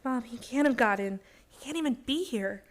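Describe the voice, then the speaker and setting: Woman playing an anxious, high-voiced secretary. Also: expressive, moderate pace, natural voice. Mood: nervous. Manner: urgent, earnest. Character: anxious, loyal, pleading young secretary, a woman. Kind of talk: radio play suspense acting.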